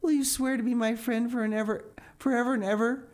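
A woman's voice: on the low side, a little slow, a little mellow, voice pushed up, natural voice. A woman reading aloud and voicing an eager, dreamy young girl who gushes and pleads breathlessly. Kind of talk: audiobook character voice.

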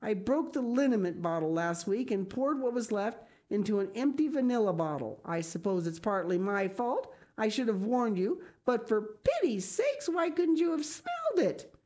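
Woman putting on a shrill scolding older woman. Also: sing-song, moderate pace, few pauses, a little mellow. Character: stern, exasperated older woman guardian.

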